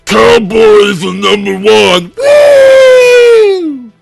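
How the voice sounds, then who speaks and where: Male storyteller as loud, obnoxious big sports fan, high-pitched shouting and whooping, comic anecdote. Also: expressive, drawn-out words, gravelly, music in the background, exaggerated voice. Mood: rowdy gloating. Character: loud boorish fat male football fan.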